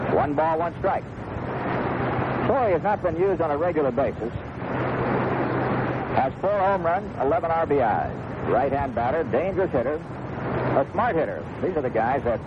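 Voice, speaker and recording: A dull, very noisy vintage radio broadcast carries a man doing live baseball play-by-play. His voice sits a little high as he calls the game from a ballpark booth. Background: steady stadium noise.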